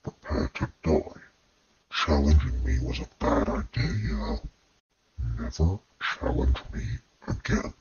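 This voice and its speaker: A man voicing an arrogant, threatening villain god in game-style lines, with breathy growling menace. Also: rumbling low, animated, measured pace, darkish tone. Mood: menacing. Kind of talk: scripted game character voice lines. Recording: muffled, very noisy amateur recording.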